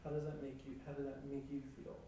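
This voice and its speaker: A man interviewing for an oral history, asking gentle, hesitant questions. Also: mid-range voice, monotone, fast. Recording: distant, echoey room with faint noise.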